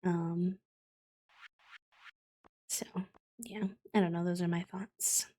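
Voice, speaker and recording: A woman with a fairly low voice chats casually on her livestream while she plays. Her voice is a little croaky, as if she just woke up. She talks in a thoughtful, hesitant way, with plenty of ums and likes. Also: fairly even intonation, takes it slowly, warm tone, poor audio. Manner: thoughtful, hesitant, many fillers. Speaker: streamer.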